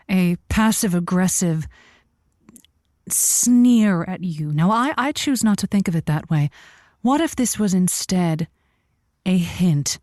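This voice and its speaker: A woman's voice: medium pitch, darkish tone, no room sound, clean studio sound. A woman playing a theatrical, daffy love-advice host in a comedy radio show. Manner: theatrical, punning, coaxing.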